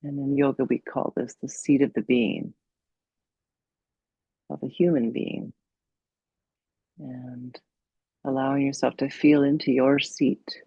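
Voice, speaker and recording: Female meditation guide: very slow, soothing delivery with a creaky edge; slightly dull recording. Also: very deep voice, fairly even intonation, no room sound. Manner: soothing, unhurried, gently instructive with long pauses. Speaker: yoga and meditation coach leading a guided meditation.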